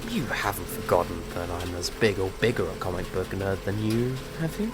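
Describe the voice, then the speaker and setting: An actor playing a brash, teasing monster hunter and comic book nerd in an audio drama. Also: male voice, deep voice, lively intonation, brisk, background noise. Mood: wry, teasing.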